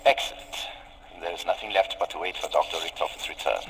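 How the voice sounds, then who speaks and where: An actor playing a stern German scientist in charge of a base in a video game, clipped, formal and commanding. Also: male voice, sits low, quick pace, creaky, a bit bright. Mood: officious. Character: stern, officious German male scientist running a secret base. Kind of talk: video game cutscene acting. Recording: echoing hall, noisy radio transmission.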